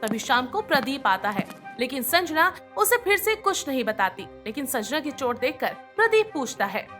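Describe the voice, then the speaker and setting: A woman's voice: sits high, very animated, moderate pace, a bit bright, soft background music. A woman reading scripted narration for a moral bedtime story as its storyteller.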